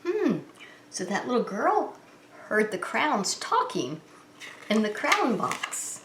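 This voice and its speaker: A woman's voice: a little high, huge pitch swings, slow, a bit bright, slight background noise. A woman hosting story time as a preschool teacher, reading a picture book aloud and explaining it simply and expressively to young children. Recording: roomy, echoing indoor sound.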